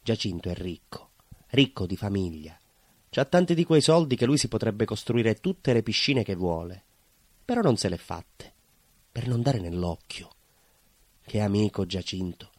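Proud Calabrian baker, theatrical monologue, plain-spoken and nostalgic, animated intonation, confiding asides, dry recording. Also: male voice, fairly low pitch, unhurried, no echo. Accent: southern Italian, Calabrian. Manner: colloquial, confiding, with rhetorical asides. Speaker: stage actor performing a monologue. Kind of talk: theatrical monologue in colloquial storytelling.

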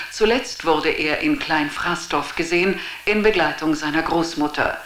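A woman's voice: low-pitched, a bit bright. A woman playing a formal, factual news announcer reading a police appeal in a radio drama. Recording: roomy, echoing sound.

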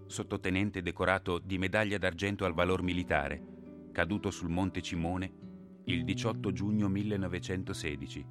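A man narrating a radio play in a solemn, measured, scripted style. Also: deep voice, steady tone, music in the background.

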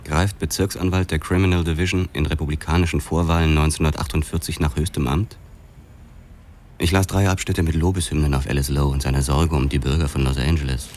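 A man's voice: rumbling low, little pitch movement, brisk, darkish tone, a little hiss or hum. An actor playing a world-weary police detective who narrates his story in a hardboiled crime radio drama. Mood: dry, sardonic.

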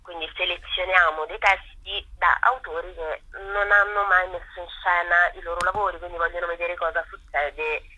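Female interviewee, very bright forceful delivery, rough-edged husky tone, enthusiastic explainer. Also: on the low side, fairly even intonation, moderate pace, hardly any pauses, poor audio. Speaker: festival organiser being interviewed. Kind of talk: spontaneous interview talk.

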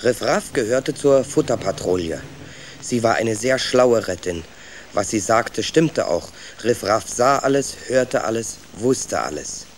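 An actor playing a young sewer rat who calmly narrates his story in a children's radio play, measured and matter-of-fact. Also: fairly low pitch, a little hiss or hum. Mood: calm, storytelling.

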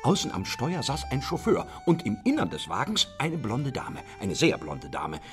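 A man's voice: medium pitch, huge pitch swings, moderate pace, soft background music. A man playing a distraught, stubborn father in a radio drama, agitated and theatrical. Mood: distressed.

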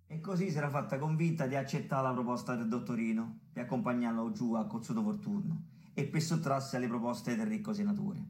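An actor as a Roman storyteller, roomy and distant. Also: male voice, mid-range voice, a little fast, darkish tone, lightly acted. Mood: storytelling. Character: colloquial male storyteller of a bawdy tragicomic tale. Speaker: narrator. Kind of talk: theatrical storytelling in colloquial dialect. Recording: roomy distant voice over clear music. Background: music.

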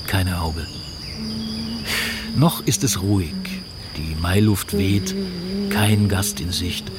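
An actor narrating a comic rhyming radio play in measured verse. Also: male voice, lowish voice, very noisy. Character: male storyteller of a comic rhyming tale. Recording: loud chirping insects behind narration.

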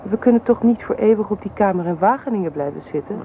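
A woman's voice: mid-range voice, expressive, quick pace, close to an everyday voice. An actress plays a young wife in a Dutch radio play, practical but hurt. She speaks plaintively, with reproach in her delivery. The old broadcast recording is thin as a phone line, with faint noise. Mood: pleading, longing. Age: young adult.

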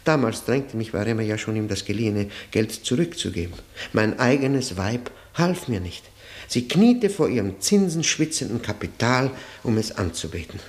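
An actor playing a tormented, bitter man confessing his ruined marriage in a brooding, halting monologue, in the style of 1960 radio drama. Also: lowish voice, expressive, close to an everyday voice. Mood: bitter, resigned.

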